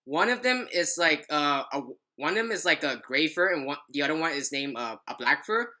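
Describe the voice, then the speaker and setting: A woman's voice: deep voice, expressive, fairly quick, bright, forceful tone. A young adult woman telling a personal story in casual podcast chat, rambling and often stumbling over her words.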